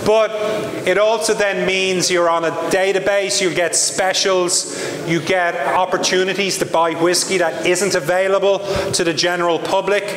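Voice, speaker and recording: A man from Ireland with an Irish accent, giving a lively live sales presentation to an audience with chatty asides. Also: high voice. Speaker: brand ambassador presenter. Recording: echoey hall from far off.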